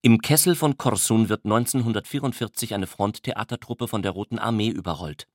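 A man's voice: lowish voice, brisk, dry recording, clean studio sound. A man narrating a scripted text in a documentary style.

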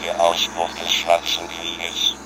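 Low flat guy announcing titles real slow, solemn. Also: very noisy. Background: music.

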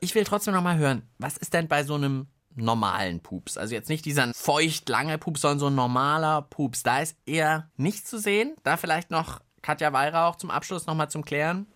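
Lively male podcast host, animated pitch. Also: a little fast, few pauses. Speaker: children's science podcast host. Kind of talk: casual, playful co-hosting chat.

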